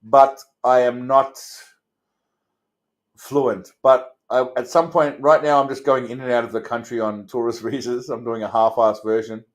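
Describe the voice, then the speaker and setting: An Australian man with a middling pitch chats off the cuff as the host of a livestream. His delivery is blokey and crude, full of swearing and rambling asides to his viewers. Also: fairly even intonation, a little slow, dull audio. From Australia, Melbourne suburbs. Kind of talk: casual livestream chat answering viewers. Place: indoors.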